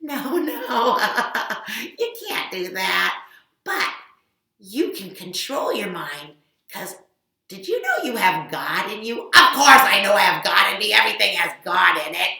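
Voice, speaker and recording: A woman voicing a calm, wise sheep in an improvised children's story, speaking softly and soothingly. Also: medium pitch, gravelly, heavily acted. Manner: soft, soothing, unhurried. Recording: roomy echo.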